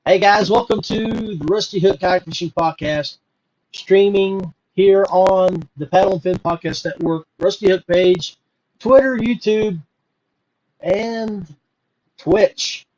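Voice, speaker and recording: A man's voice: sits high, takes it slowly, a little mellow, highs cut off. A middle-aged man hosting a fishing podcast, talking casually with lots of fillers and restarts. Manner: rambling, many fillers and restarts. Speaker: podcast host. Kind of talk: casual live podcast talk.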